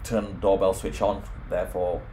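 A man's voice: sits low, level tone, moderate pace, warm tone, a little hiss or hum. A man presenting an informal home automation tutorial, explaining things step by step. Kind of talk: informal software screen walkthrough.

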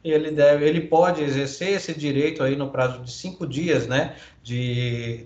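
A fairly high-voiced man with a Brazilian Portuguese accent lectures as a law professor on a video call. He reads legal text and then explains it with lots of fillers and tag questions. The audio is roomy and dull, like a lo-fi call recording. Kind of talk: online lecture reading and explaining legal articles.

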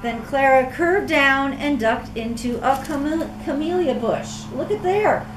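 An older woman reads a picture book aloud in a high, very animated voice, adding chatty asides. She is in an echoey room, a little way from the camera. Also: a little slow, distant mic. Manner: speaking through a face mask. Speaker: storybook reader. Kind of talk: expressive children's read-aloud with chatty asides. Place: small classroom-like room, indoors. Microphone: camera a few metres away. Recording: echoey room, faint background noise.

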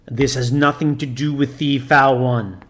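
A man voicing a snarling, defiant male demon in an audiobook reading, loud and slow. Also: mid-range voice, fairly even intonation, close to an everyday voice.

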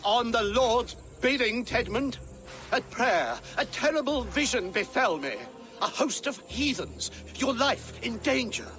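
High, sharp, anxious old abbot. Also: male voice, expressive, a little slow, heavily acted. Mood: grave. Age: older. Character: pious, anxious older abbot, easily flustered. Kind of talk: dramatic video game acting.

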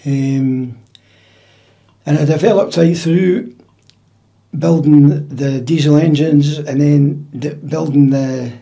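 A Scottish man in his seventies, recalling his working life in a measured, matter-of-fact way during a relaxed interview in a dining room at home. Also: fairly high pitch, vocal fry. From Scotland. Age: 70s. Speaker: interview guest, retired drummer. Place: dining room in a private house.

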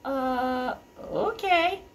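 A woman voicing a small young male goat who talks in a breezy, sly way, pitched well above her own voice. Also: expressive, drawn-out words, a little hiss or hum, heavily acted. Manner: breezy, high and light.

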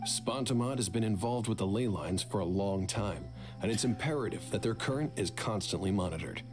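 A man acts as a courteous research official in a video game, with a lowish voice. He explains things formally and in measured phrases, with music playing clearly behind him. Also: lightly acted. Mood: formal, businesslike. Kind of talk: scripted video game dialogue.

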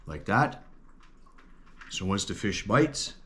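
A middle-aged man with a very low voice walks through a hands-on project in an easy, matter-of-fact way, filling pauses with the odd "uh." He talks while working at an indoor bench, the camera aimed down at his hands. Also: very animated, very noisy. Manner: plain, matter-of-fact explaining with fillers. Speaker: outdoors channel host. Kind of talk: casual how-to demonstration. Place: indoors at a workbench.

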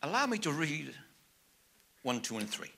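An older man from South Africa, a native Afrikaans speaker with a South African accent, preaching from a church pulpit, moving from soft asides to loud, emphatic preaching. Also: fairly high pitch, very animated, normal speed, creaky, husky. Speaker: evangelist. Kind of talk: sermon. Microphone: pulpit mic, medium camera.

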